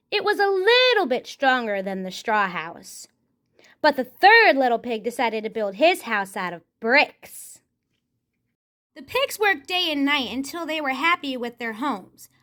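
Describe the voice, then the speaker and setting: A woman's voice: on the high side, very animated, measured pace, a bit bright. A woman storyteller narrating expressively, as in a puppet show for children.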